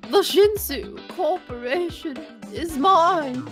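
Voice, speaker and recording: Crazy older villain lady for a game dub, super high n slow, music behind her. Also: lively intonation, a bit bright, heavily acted. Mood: defeated, obsessive. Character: deranged scheming older woman villain in defeat. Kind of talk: fan dub of an anime-style online game.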